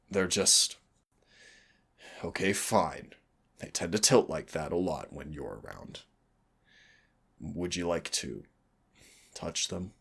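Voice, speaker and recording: A man playing a teasing, lovestruck winged fire demon in an intimate audio roleplay, with a deep, husky, gravelly voice. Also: a bit bright, full character voice. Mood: shy, fond. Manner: intimate, teasing, addresses listener as darling.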